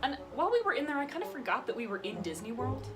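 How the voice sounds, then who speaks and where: A young woman tells stories in a casual vlog style, her pitch moving in lively swings. She is playful and mock-serious as she recaps details, with music clearly playing behind her. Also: racing. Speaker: vlogger telling personal stories. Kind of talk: casual chatty storytelling with a friend.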